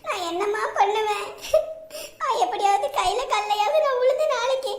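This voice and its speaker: A woman's voice: very high voice, a little fast, a loud crowd. A woman playing a helpless young village mother and daughter-in-law, anxious and plaintive, in a family drama. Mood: tearful, helpless. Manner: plaintive, respectful. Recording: roomy, echoing sound.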